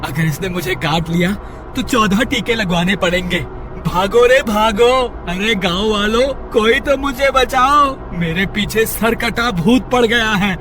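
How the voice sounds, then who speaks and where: A frightened village man played by a male voice actor pleads in a high, whiny voice. He is frantic and panicky, in horror cartoon style, with faint music behind him. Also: measured pace. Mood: panicked. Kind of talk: Hindi horror cartoon character voice.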